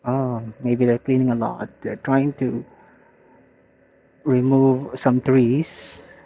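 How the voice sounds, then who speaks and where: A man's voice: mid-range voice, level tone, slow, a little mellow, a little hiss or hum. A man with a Filipino accent chatting casually and thinking aloud as a motorcycle vlogger, in an easygoing, rambling way. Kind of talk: casual chat, rambling commentary while riding. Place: outdoors, riding a motorbike on rural roads. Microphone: helmet intercom headset. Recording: muffled helmet intercom audio.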